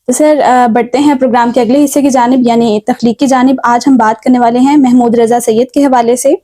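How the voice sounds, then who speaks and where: A Pakistani woman with a fairly high, soft-toned voice hosts a literary talk show. She is polite and deferential, quick to show appreciation. The sound is dry, with no room echo. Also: fairly even intonation.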